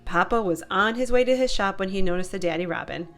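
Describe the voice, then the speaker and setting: A woman's voice: medium pitch, quick pace, a bit bright. A woman narrating a children's picture book as a read-aloud storyteller. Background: music.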